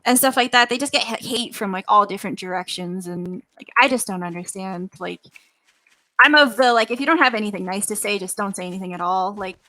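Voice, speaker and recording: A young adult woman chatting casually as an interview guest, informal and halting, peppering her speech with filler "like". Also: mid-range voice, pushed and bright. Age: millennial, young adult. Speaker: interview guest, voice actress and gamer. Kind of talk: casual chat.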